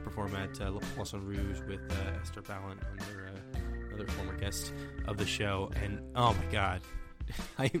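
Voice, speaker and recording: Man, podcast host, lowish voice, chatty outro, music clearly under him. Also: little pitch movement, moderate pace, few pauses. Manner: chatty, enthusiastic, repeats words as he goes. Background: music playing.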